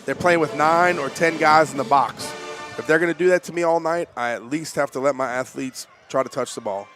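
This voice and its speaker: A man with a stuffy, nasal voice from a cold, giving coach-like color commentary on a high school football game from the press box. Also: brisk, over music. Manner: coach-like, folksy analysis.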